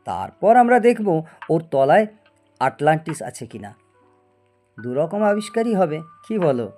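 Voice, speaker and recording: A man's voice: on the high side, sing-song, unhurried, warm tone, soft background music. A man acting a proud, obsessive middle-aged scientist in a Bengali audio story, theatrical and prone to stammer when agitated. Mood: excited, explaining. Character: proud obsessive male scientist, boastful and stubborn.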